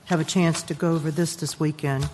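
A woman's voice: low voice, steady tone, a little fast, big-room sound, noisy background. A woman council member putting direct, conversational questions to an official at a public meeting.

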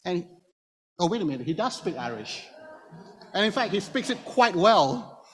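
In a church with long reverb, a man preaches in a slightly high voice. He is a native Hokkien speaker and explains scripture step by step, turning often to his listeners with rhetorical questions. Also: big pitch swings, normal speed. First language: Hokkien Chinese. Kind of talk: expository sermon to a congregation. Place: church room before a congregation. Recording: reverberant hall, long echo.

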